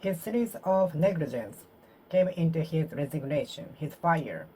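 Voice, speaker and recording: Japanese woman talking to herself in english, low pitch, keeps restarting lines. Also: expressive, breathy edge, poor audio. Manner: halting, repeats and self-corrects phrases, trailing off softly. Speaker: vlogger. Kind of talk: solo English speaking practice, talking to herself.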